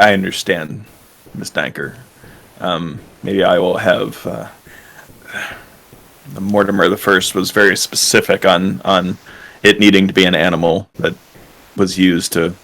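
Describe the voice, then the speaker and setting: A man's voice: deep voice, creaky, fairly bright tone, exaggerated voice, low-quality recording. A man voicing a nervous member of a gothic household who stammers and repeats his words.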